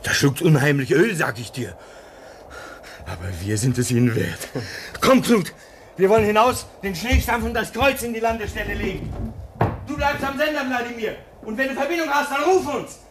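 Radio drama: eager polar station man, high voice with big swings, exuberant, commanding, hissy archival recording. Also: lively intonation, normal speed, fairly bright tone. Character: excitable, hopeful male polar station crewman Gregor. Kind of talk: radio play acting.

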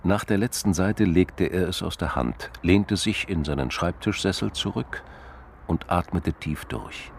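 A crime story narrator with an extremely low, gravelly male voice. He tells it soberly and deliberately, with faint ambience behind. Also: a little hiss or hum. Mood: sober, suspenseful. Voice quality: very deep, gravelly. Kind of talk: scripted radio drama narration.

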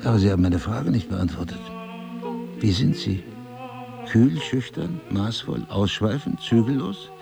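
Low, gravelly, insistent husband. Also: male voice, lively intonation, a little slow, music in the background. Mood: flirtatious, urgent. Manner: insistent, questioning, theatrically eloquent. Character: intense, probing crime-writer husband with memory loss. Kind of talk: intimate two-person audio drama acting.

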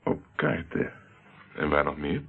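An actor playing a shrewd, hard-nosed private detective in a radio play, questioning people in a condescending way. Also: male voice, extremely low pitch, sing-song, a little slow, gravelly, husky, heavily acted. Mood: pressing, condescending. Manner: interrogating, condescending. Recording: muffled narrowband old radio play recording.

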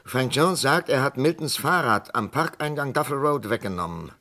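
An actor playing an insistent, impassioned male psychiatrist in a radio play, urgent and halting, with sarcastic rhetorical turns. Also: medium pitch, lively intonation, pushed and bright.

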